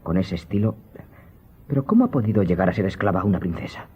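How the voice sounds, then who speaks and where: Man playing an eager young aristocrat, low husky voice. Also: expressive, fast, darkish tone, full character voice. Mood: curious, amazed. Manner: inquisitive, courteous, marveling. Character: eager, curious young French aristocrat, impressionable and courteous. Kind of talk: Spanish-language radio drama acting.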